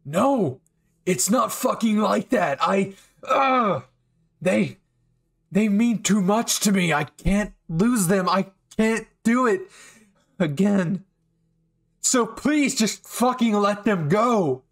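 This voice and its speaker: A man's voice: sits high, lively intonation, takes it slowly, creaky, pitched above the performer's own voice, full character voice. Man acting desperate, pleading anime hero. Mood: desperate, tearful. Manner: pleading, strained, swearing. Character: gruff, desperate male anime hero pleading for a loved one. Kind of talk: dramatic anime fan roleplay audio.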